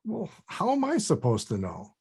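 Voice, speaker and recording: A male actor plays a defensive, profane older man in a comedy read. The voice is husky and very animated in pitch, grumbling and blunt in a natural way. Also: normal speed, full character voice. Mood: uneasy. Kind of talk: table read of a comedy script.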